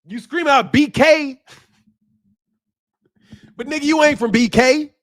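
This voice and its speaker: Animated slangy man streaming on boxing. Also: very high voice, slow. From Chicago area. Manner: animated, slangy, loud outbursts and laughter. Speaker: boxing channel host. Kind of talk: casual livestream talk. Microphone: streaming mic.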